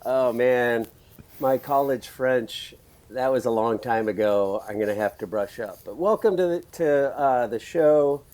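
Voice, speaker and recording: A man hosting a podcast in a casual, rambling interview chat, his voice raspy from screaming at a concert. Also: medium pitch, a little slow, a little mellow. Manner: chatty, rambling, laughs often. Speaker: podcast host.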